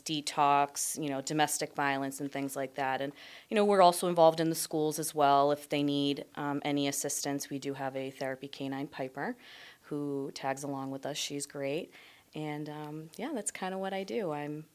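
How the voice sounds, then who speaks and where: A low-pitched woman in her thirties, a native Portuguese speaker, talks as a guest on a podcast. She has a relaxed, friendly way of speaking and fills her pauses with "um" and "you know.". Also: clear, resonant tone. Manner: relaxed, friendly, with frequent fillers. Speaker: clinician guest. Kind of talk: casual podcast interview.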